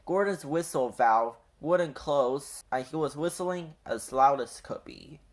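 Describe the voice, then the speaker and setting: A man reading a children's storybook aloud in an expressive storytelling style. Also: on the high side, slow. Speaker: children's book reader. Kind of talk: animated storybook read-aloud.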